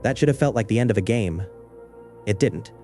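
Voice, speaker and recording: A man with a low, mellow voice tells a creepy small-town story in scripted first person. His delivery is measured and understated, with soft music faintly underneath. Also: lively intonation. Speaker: narrator.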